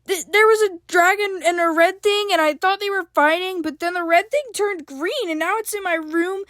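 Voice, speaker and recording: A woman's voice: flat delivery, a little slow, no room sound, studio quality, lightly acted. Woman voicing a stammering frightened girl. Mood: frantic. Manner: stammering, frantic, high-pitched. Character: frightened, anxious young girl.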